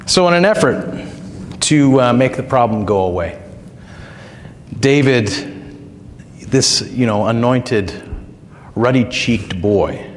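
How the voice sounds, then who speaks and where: Male pastor, mid-pitched, slightly hoarse, conversational storytelling sermon, long church reverb. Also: animated, takes it slowly, pauses often, a little hiss or hum. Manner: conversational, folksy storytelling with filler words. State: recovering throat, slightly hoarse.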